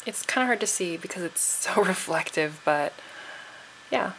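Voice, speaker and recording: A woman's voice: fairly low pitch, lively intonation, normal speed, a bit bright, a little hiss or hum, rough recording. A woman giving a casual, chatty tutorial voice-over, sounding a little tired and froggy. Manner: informal, chatty. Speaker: nail art tutorial host.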